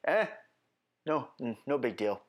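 A man's voice: medium pitch, very animated, measured pace, pitched above the performer's own voice. A man putting on a dismissive cable-news viewer who brushes everything off in an offhand way.

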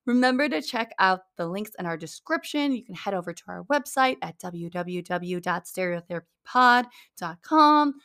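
A woman's voice: medium pitch, lively intonation, normal speed, few pauses, fairly bright tone, clean tone. A woman hosting a podcast, upbeat and chatty, with a slightly scratchy voice. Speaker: podcast host. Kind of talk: casual podcast chat.

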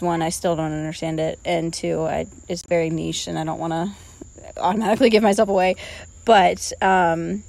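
A woman's voice: lowish voice, creaky, darkish tone. A woman in her twenties co-hosting a casual podcast, talking in a rambling, filler-heavy, self-deprecating way. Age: 20s. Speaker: podcast co-host. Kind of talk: casual chat.

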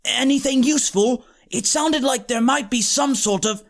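A man's voice: very high-pitched, normal speed, vocal fry, voice pushed up. A man voicing an eager, impatient young boy in an audiobook, whiny and insistent. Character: eager impatient tiny schoolboy, pleading and stubborn. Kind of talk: audiobook character acting.

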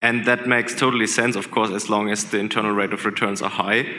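A man from Switzerland politely asking a question as an audience member at a live gathering, with halting phrasing. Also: on the low side, level tone, pushed and bright. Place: large room at a live gathering. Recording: reverberant hall, clearly noisy.

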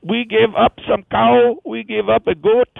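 A man doing a high rasping Indian woman. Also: flat delivery, no room sound, heavily acted. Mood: rambling. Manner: halting, broken English with many fillers. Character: woman amputee from India with transplanted hands. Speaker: phone-in comedy caller. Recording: tinny phone line.

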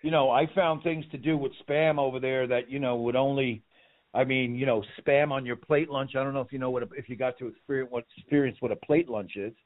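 A man's voice: medium pitch, steady tone, fairly quick. A man telling rambling stories as a guest in a casual interview, often repeating words. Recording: tinny phone-like line.

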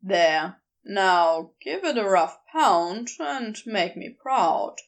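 A woman's voice: mid-range voice, very animated, very slow, pitched below the performer's own voice, heavily acted. A woman voicing an easygoing, teasing, encouraging man in audiobook character dialogue. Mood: teasing, instructive.

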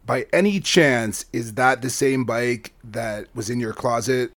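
A man voicing a calm father who questions his son in a measured way. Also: mid-range voice, no echo. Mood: probing.

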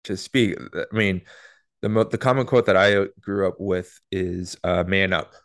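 A man's voice: low voice, unhurried. A man in his thirties hosting a podcast, talking casually and chattily, often restarting his sentences. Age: 30s. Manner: relaxed, chatty, with many repeated starts. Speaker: podcast host.

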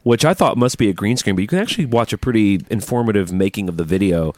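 A man's voice: fairly low pitch, animated, fast, a little mellow, no echo, clean studio sound. A man co-hosting a music podcast, talking casually and informally like an enthusiastic fan. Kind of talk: casual chat about rock music videos.

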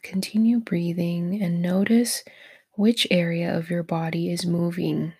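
A woman leading a guided meditation in a soft, breathy, near-whispered voice, gentle and encouraging toward the listener. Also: lowish voice, level tone, measured pace. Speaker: meditation guide, podcast host.

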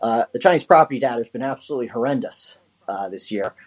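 A man's voice: mid-range voice, expressive, fast talker. Chatty man with a hesitant delivery. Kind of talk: casual market commentary.

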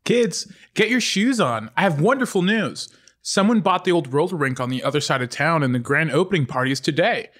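Man as a cheerful, high-voiced, chatty mother. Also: animated, fairly quick, a bit bright. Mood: upbeat. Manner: upbeat, coaxing. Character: cheerful, chatty, affectionate mother of two teenagers. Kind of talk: comedic script table read.

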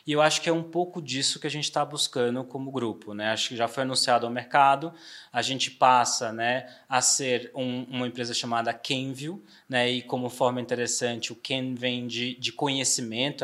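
Bahian man chatting easily in a business interview. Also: mid-range voice. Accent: Bahian Portuguese softened by São Paulo. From Bahia, Brazil. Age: 40s. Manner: relaxed, frequent fillers and tag questions. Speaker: trade marketing director guest.

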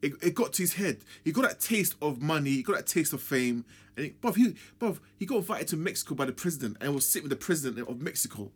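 A young man, a sports scientist, chatting casually in a rapid, emphatic, slangy way. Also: fairly high pitch, sing-song, breathy edge. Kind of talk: casual chat about boxing.

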